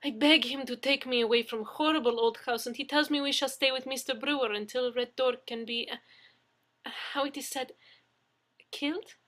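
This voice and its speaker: Woman as young Russian fiancée, storybook character, coy, effusive, broken English, Russian accent, very bright high voice. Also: fairly even intonation, normal speed. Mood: pleading.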